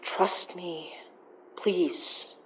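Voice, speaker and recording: An actress playing a compassionate supernatural investigator, narrating a horror audio drama in an introspective, wryly understated way. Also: female voice, fairly low pitch, fairly even intonation, very slow, in a whisper. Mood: pleading. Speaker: narrator and lead character.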